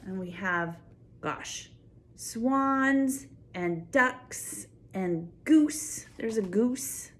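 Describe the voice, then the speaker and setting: Older female, grandmotherly story-time reader. Slow pace, lively swooping intonation, expressive and wide-eyed, talking to little kids. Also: medium pitch. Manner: expressive, full of wonder, simple explanations for small children. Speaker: grandmother reading a picture book to children. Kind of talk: read-aloud story time with spontaneous asides to a child.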